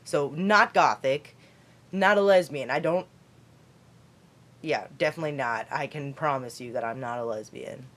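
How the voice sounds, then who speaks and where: A teenage girl from Kentucky with a deep voice for a girl, rambling chattily in a late-night vlog from her basement. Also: moderate pace, long pauses, a bit bright. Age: teens. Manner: chatty, rambling, lots of fillers and put-on voices. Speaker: vlogger.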